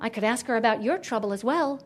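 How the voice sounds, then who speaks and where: A woman's voice: a little high, expressive, quick pace. A woman voicing a cheerful, kindly young man on a journey, in a friendly, emphatic manner. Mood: friendly, curious. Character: cheerful, kindly, eager young male traveler.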